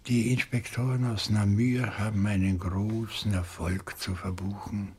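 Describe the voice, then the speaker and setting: An older man acting a weary, wounded, philosophical professor in a radio crime drama, speaking weakly, haltingly and solemnly. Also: deep voice, husky, heavily acted. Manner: halting, solemn, drawn-out speech. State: weak, exhausted.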